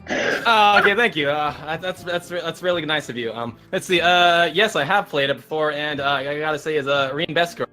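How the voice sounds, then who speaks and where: A man's voice: a little high, brisk, sharp tone. A man guest chatting casually, with music playing behind him. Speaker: guest voice actor. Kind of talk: casual chat. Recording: background music. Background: guitar music.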